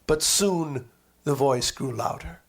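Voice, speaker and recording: Guy with a highish voice reading a poetic memoir, dramatic phrasing, lingers on words. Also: slow. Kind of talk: expressive literary reading of a lyrical memoir.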